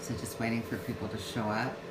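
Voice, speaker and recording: A transgender woman in her fifties chatting on a home livestream, halting often with fillers and restarts. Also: a bass voice, steady tone. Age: 50s. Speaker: vlogger. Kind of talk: casual livestream talk. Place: home yoga room. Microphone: phone or webcam livestream. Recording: echoey room, loud air conditioning hum.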